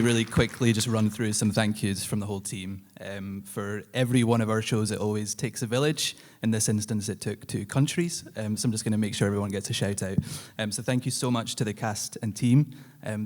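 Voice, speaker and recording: A man's voice: on the low side, a little mellow. A man giving an informal thank-you speech live on stage at an awards ceremony. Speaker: theatre-maker accepting an award.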